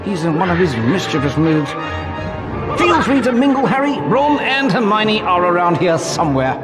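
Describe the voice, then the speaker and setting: An actor playing a courteous, vain gentleman ghost in a video game, speaking in a formal, theatrical way. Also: high-pitched, very animated, moderate pace. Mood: proud, welcoming. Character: genteel, courteous male ghost, vain and eagerly hospitable. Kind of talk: video game character acting. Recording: long reverb, music behind.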